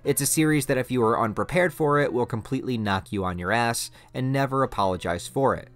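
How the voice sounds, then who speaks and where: A man's voice: medium pitch, fairly quick, a bit bright, soft background music. A man hosting a video essay, explaining things in an emphatic, conversational way with scripted commentary. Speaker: video host reviewing anime.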